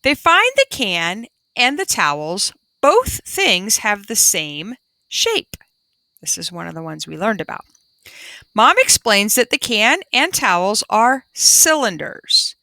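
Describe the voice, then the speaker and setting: Woman teacher doing a read-aloud for little kids, bright crisp voice, really dry sound, explains stuff simply. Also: medium pitch, big pitch swings, takes it slowly, no room sound. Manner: simple, instructive explanations for young children. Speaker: primary school teacher. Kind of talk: reading a children's book aloud with explanatory asides.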